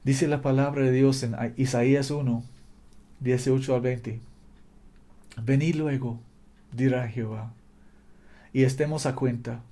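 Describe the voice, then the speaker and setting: A man's voice: mid-range voice, steady tone, measured pace, pauses often, dark tone. A man preaching a fervent sermon in a language that is not his native one, exhorting listeners with repetition and occasional slips. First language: not Spanish.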